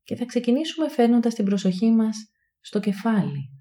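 Woman guiding meditation, very soft dark tone. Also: a little high. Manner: calm, soothing, hushed delivery with long pauses between short phrases. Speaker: mindfulness meditation guide. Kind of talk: guided body scan meditation.

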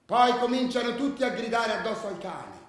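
An actor playing a rowdy football ultra in a radio drama, declaiming and chanting in a drawn-out theatrical way. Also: male voice, extremely high pitch, lively intonation. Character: rowdy male football ultra, shouting and chanting with his gang. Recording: very echoey, distant from the mic.

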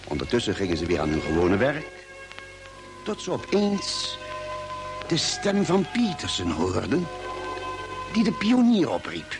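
An actor as the narrator of an old space-adventure radio play, telling the story with suspense. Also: male voice, mid-range voice, wildly swooping pitch, a little slow, pauses often, over music. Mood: suspenseful. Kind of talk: scripted radio drama narration.

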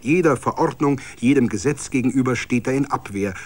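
A man's voice: mid-range voice, brisk. A man narrating a literary story for radio.

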